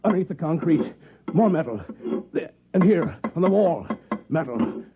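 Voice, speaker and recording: An actor playing an anxious, suspicious husband who sounds edgy and incredulous, in an old-time radio drama style. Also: male voice, fairly high pitch, animated, normal speed, noisy background, lightly acted. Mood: alarmed, urgent. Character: anxious, suspicious office husband growing alarmed at reality. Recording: muffled, narrow-band vintage radio recording.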